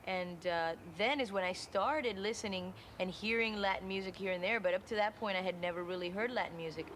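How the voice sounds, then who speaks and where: A woman's voice: medium pitch, brisk, fairly bright tone, a little hiss or hum. Chatty woman telling stories in an interview. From Cuba. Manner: chatty, acts out remembered dialogue. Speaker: interviewee, musician. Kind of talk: interview storytelling.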